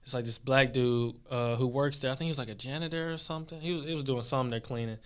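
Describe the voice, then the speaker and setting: A man's voice: mid-range voice, steady tone, fast talker, clear, resonant tone. A man in his twenties from Texas, a basketball player and podcast guest, telling stories in a laid-back way with lots of fillers. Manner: laid-back storytelling, many fillers and restarts. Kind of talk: casual podcast interview.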